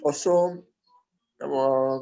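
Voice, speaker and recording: A man from Tanzania who runs a youth organization, speaking slowly and politely on a video call, halting as he gives formal thanks and asks a question. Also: fairly high pitch, steady tone, gravelly, dark tone, smooth, highs cut off.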